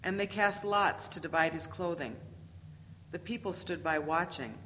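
A woman's voice: lowish voice, moderate pace, a bit bright. Woman narrating scripture in a reverberant hall. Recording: reverberant hall with faint noise.

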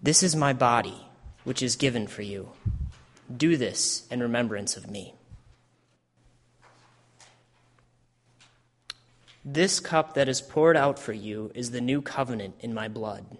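A man playing a solemn religious teacher in a dramatic scripture reading, speaking in a measured, declamatory way with long hall reverb. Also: mid-range voice, lightly acted. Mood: solemn, tender. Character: Jesus, solemn adult male teacher at his last supper.

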